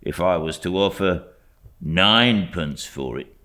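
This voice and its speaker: A man's voice: sits low, animated, unhurried, sharp tone, heavily acted. Man acting a grumbling, haggling old-clothes shopkeeper. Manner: colloquial, complaining.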